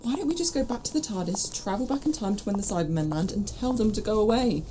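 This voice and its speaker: A woman in an audio drama playing a questioning, compassionate human companion, with an expressive delivery. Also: medium pitch, fast, a little hiss or hum, lightly acted. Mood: eager, questioning.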